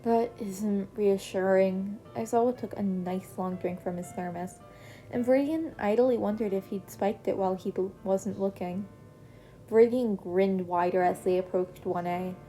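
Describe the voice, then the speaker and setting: Woman reading fanfic out loud, mellow voice, soft music under it. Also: mid-range voice, moderate pace. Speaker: podfic reader. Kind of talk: reading fan fiction aloud.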